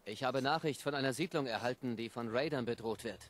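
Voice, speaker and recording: An actor playing an earnest, weary militia soldier in a video game, speaking in a measured way. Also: male voice, medium pitch, dry recording, close to an everyday voice. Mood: businesslike. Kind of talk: video game dialogue acting.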